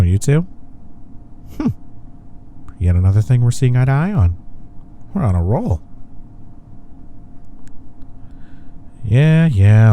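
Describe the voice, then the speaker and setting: A man's voice: lowish voice, dark tone, slight background noise, lightly acted. A young man acting as a smug, teasing office rival in an intimate roleplay audio. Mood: relaxed, amused. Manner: teasing, playful banter. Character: teasing, smug young male office rival softening toward his coworker.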